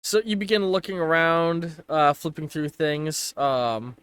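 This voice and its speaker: A man narrating a tabletop game as game master, improvising casually and haltingly as he searches for words. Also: fairly high pitch, expressive, fairly bright tone, smooth, no echo.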